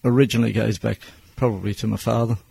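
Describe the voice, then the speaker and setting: A man in his sixties with an Australian accent, answering interview questions as a business owner in a plain-spoken, measured way. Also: on the low side, fairly even intonation, creaky, warm tone, slightly rough. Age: 60s.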